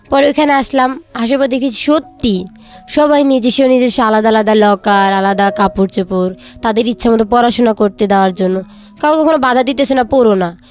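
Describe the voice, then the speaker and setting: A young woman with a Bangladeshi accent telling her personal life story as an interview guest, a student. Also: fairly high pitch. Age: young adult.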